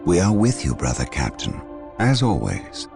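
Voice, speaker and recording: An actor playing a scholarly, solemn space marine mystic in a video game, grave and deliberate. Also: male voice, low voice, huge pitch swings, creaky, over music, exaggerated voice. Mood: loyal. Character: scholarly, solemn male battle psyker, loyal but intrigued. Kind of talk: dramatic video game cutscene acting.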